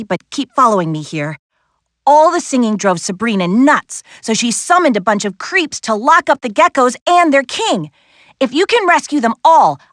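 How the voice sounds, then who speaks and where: A woman voicing a confident, spirited young adventurer in a video game, briskly giving missions with an earnest, lightly wry manner. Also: fairly high pitch, fairly bright tone, dry recording, studio quality. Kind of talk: video game character voice acting.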